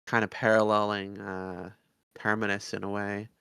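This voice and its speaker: Low, creaky-voiced male podcast co-host. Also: level tone, moderate pace, bright, forceful tone, no room sound. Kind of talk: casual chat.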